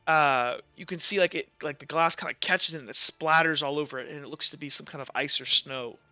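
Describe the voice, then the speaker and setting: A man working as game master, improvising tabletop roleplay narration in informal, halting pieces. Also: fairly high pitch, sharp tone, dry recording. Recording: slightly dull audio, music underneath. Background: music.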